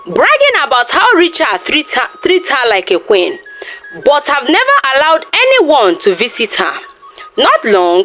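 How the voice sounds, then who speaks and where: A female storyteller narrates a scripted folktale. Her voice is fairly high and extremely expressive, rising and falling dramatically, with music playing behind it.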